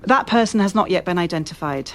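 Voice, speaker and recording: An actress playing a brisk, authoritative police officer in a radio drama, speaking in a measured, official manner. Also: female voice, on the low side, expressive, soft background music, natural voice. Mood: grave, official. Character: brisk, authoritative female police officer leading a case briefing. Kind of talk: formal police briefing, radio drama acting.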